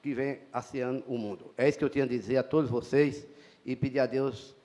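A man's voice: mid-range voice, fairly even intonation, brisk, darkish tone. A middle-aged man with a Bahian Portuguese accent, giving a formal, emphatic inauguration speech as a city councilman in a council chamber, speaking into a handheld mic at a lectern. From Brazil. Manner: formal, emphatic, repetitive phrasing.

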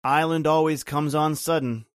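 A man's voice: on the high side, fairly even intonation, moderate pace, no room sound, clean studio sound, natural voice. Terse, cryptic boatman, acted by a man. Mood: stoic, ominous. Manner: terse, evasive, repetitive. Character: cryptic, stoic old-time boatman, a man. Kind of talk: old-time radio horror acting.

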